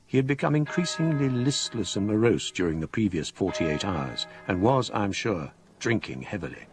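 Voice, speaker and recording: An actor playing a scholarly gentleman who recounts a ghost story in measured, formal radio drama narration. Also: on the low side, sing-song, music in the background. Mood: sombre.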